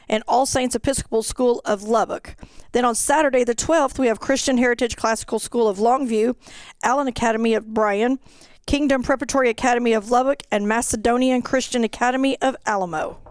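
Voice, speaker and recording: A woman with a somewhat high-pitched voice presents information in a webinar. She moves through details in an orderly, matter-of-fact manner. The sound is dry, with little room echo. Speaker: fine arts director giving event details.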